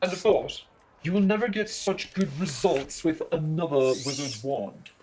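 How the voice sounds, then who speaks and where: A man voicing an eccentric, eerie old wandmaker, soft-spoken and musing, in a theatrical storybook reading. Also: on the high side, noisy background, voice pushed up, lightly acted. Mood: brisk, eager. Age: older. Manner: musing, theatrical, drawn-out phrasing with sudden eager bursts. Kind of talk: dramatic audiobook reading with character voices.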